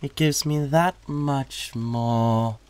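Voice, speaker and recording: Suave demon for roleplay audio , male actor: British, unhurried, theatrical, condescending and flirtatious, mid-pitched. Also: fairly even intonation, a little mellow, clear, resonant tone. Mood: smug, teasing. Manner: theatrical, haughty, drawling.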